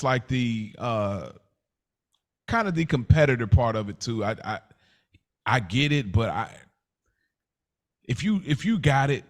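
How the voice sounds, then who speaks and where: A man with an American accent debating casually as a podcast host, slangy and repeating phrases to push his point. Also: medium pitch.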